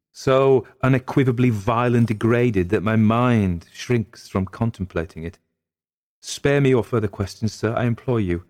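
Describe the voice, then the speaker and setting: A man's voice: sits low, darkish tone. Guy doing a genteel victorian-style wife, pleading and anguished, formal old-fashioned audiobook drama. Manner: formal, imploring, melodramatic period diction. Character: anguished young gentlewoman, genteel, pleading, dreading a cruel husband.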